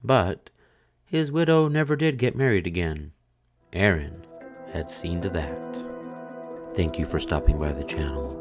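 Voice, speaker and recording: A man reading a spooky children's story aloud in a dramatic, expressive way. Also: deep voice, unhurried, pauses often, music in the background. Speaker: storyteller, channel host.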